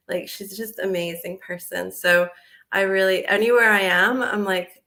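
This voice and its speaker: American woman in her 40s on a video call, chatty and animated, laughs a lot, lots of likes and ums. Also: medium pitch, normal speed. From United States. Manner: animated, laughs often, many fillers. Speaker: guest. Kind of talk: casual interview chat.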